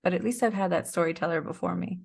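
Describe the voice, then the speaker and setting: A woman's voice: lowish voice, quick pace, creaky, a little mellow, breathy edge. A woman being interviewed as a guest, explaining things in a casual, reflective way. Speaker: interview guest, performing artist.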